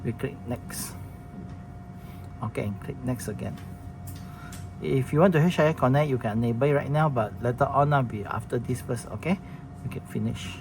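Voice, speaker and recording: A man's voice: mid-range voice, moderate pace, vocal fry, pauses often, music in the background. A man walking viewers through a product setup, talking plainly with many restarts and fillers. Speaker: product demonstrator. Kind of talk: casual product demo walkthrough. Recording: noisy room recording.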